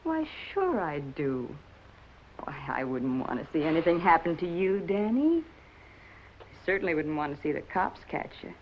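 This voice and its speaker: An actress playing a selfish, hard-boiled American femme fatale in a 1950 film noir, slangy, cool and brazen. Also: female voice, on the low side, big pitch swings, moderate pace. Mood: mock sweet. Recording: dull old film soundtrack, faint noise.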